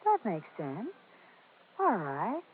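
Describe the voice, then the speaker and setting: Coy radio femme fatale, animated pitch. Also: female voice, slow pace, a little hiss or hum. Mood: coaxing. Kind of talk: 1940s radio drama acting. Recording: narrow-band old radio recording.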